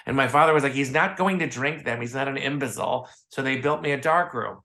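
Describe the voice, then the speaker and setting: Bright-voiced American man telling stories. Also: medium pitch, fast. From Chicago, United States. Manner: chatty, acts out quoted dialogue. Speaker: podcast guest, photographer. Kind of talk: casual anecdotal storytelling.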